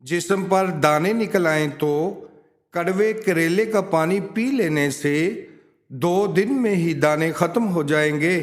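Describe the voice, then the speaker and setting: A man with a notably high voice narrates scripted advice. He takes his time over each phrase, speaking slowly in a measured, instructional manner. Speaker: narrator of a home remedies and quotes channel.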